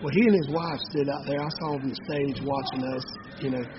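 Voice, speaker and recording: A man's voice: mid-range voice, steady tone, quick pace, muffled recording, background noise. A man, chatting easily in an interview as a banjo-playing bandleader with folksy phrasing. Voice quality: raspy voice. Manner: easygoing storyteller, folksy phrasing. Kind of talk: casual interview chat.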